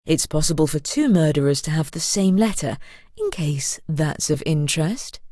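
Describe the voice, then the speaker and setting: An actress playing a sly, elegant narrator in a mystery video game, with an arch, sinister storybook delivery. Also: female voice, low voice, lively intonation, moderate pace, warm tone, dry recording, clean studio sound. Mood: conspiratorial. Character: sly, elegant woman narrator who relishes murder.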